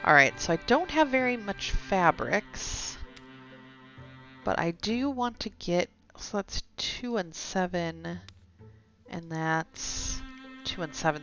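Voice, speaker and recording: A woman's voice: fairly low pitch, a bit bright. A woman live-streaming a game, reading its story text aloud with some stumbles and chatting to viewers in asides. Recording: dull lo-fi sound with music behind. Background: game music.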